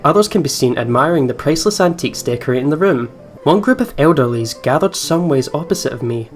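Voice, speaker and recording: Mid-pitched man reading over guitar music. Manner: informal, giggly, sweary asides. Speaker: let's play host. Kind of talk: reading a visual novel aloud with casual reactions. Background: game's guitar music.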